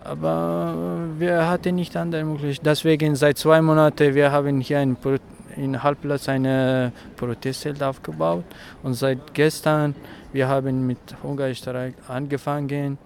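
A man's voice: fairly high pitch, flat delivery, measured pace, vocal fry, hardly any pauses, a little mellow, a little hiss or hum. Earnest young man from Afghanistan. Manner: earnest, hesitant, searching for words. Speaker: protester. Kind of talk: street interview. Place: outdoors on a city street.

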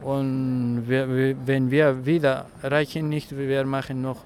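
A man's voice: mid-range voice, level tone, slow pace, darkish tone, slight background noise. A young man from Afghanistan speaking earnestly and hesitantly in a street interview at a protest. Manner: earnest, hesitant, searching for words. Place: outdoors on a city street.

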